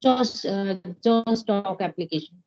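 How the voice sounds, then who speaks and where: A woman from India, speaking English, pauses often and restarts her sentences. Her tone is dark and mellow. The audio sounds muffled, like an online call. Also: medium pitch. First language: Hindi. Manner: hesitant, many fillers and restarts. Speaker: English learner, homemaker. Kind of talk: casual conversation practice.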